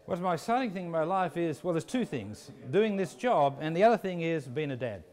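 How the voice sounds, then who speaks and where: A middle-aged man with an Australian accent giving measured, unscripted interview answers with some hesitant phrasing. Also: fairly high pitch, expressive, darkish tone. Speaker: business school dean, interviewee. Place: walking through a university building. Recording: echoey indoor hallway.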